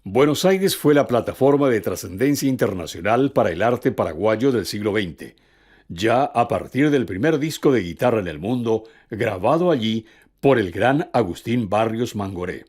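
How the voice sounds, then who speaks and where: A man's voice: on the low side, expressive, moderate pace, a little mellow. A man delivering scripted narration as the narrator of a documentary.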